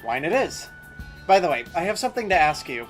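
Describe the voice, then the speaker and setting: A man's voice: fairly high pitch, very animated, a little fast, faint music behind. A man acting an upbeat, easygoing young groom and best buddy in an audio drama, breezy and exuberant. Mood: friendly.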